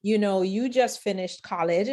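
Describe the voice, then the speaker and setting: Host: woman with a middle-pitched voice, reflective and unhurried, pausing to find words. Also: warm tone. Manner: thoughtful, hesitant, many fillers. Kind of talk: interview conversation.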